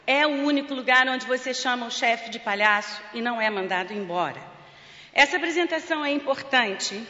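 A woman's voice: fairly high pitch, lively intonation, fairly quick, bright, forceful tone. A woman with a Brazilian accent, a charity president and clown, giving a joking, emotional award acceptance speech. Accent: Brazilian Portuguese. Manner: emotional, joking, fighting back tears. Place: large parliament hall. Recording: lo-fi with long hall reverb.

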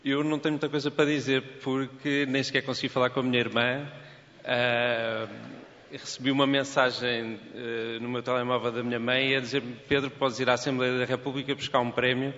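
A man's voice: medium pitch, little pitch movement, fairly quick, fairly bright tone. A man with a European Portuguese accent giving a short, hesitant, modest acceptance speech. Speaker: award recipient on his sister's behalf. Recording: lo-fi with long hall reverb.

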